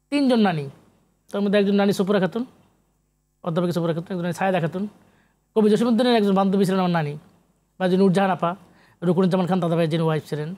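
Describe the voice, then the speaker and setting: Bangladeshi guy, academic guest on a talk show, lecturing hard, rapid and high voice, drops english terms. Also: a little mellow. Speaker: guest, professor and literary researcher. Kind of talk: animated TV interview discussion.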